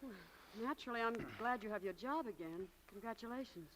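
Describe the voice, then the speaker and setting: A proud, touchy young shop assistant in a 1940s radio play. Her voice is high and strained, her phrasing curt. The recording is an old broadcast. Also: expressive, a little fast, a bit bright, a little hiss or hum, rough recording. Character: frayed, proud young shopgirl, unwell and touchy.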